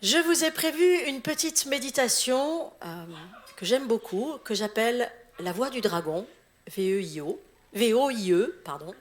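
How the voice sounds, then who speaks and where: A woman's voice: on the high side, animated, measured pace. A middle-aged woman from Alsace, a visiting church official, preaching a sermon in a chatty way and laughing at her own slips. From Alsace, eastern France. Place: church hall.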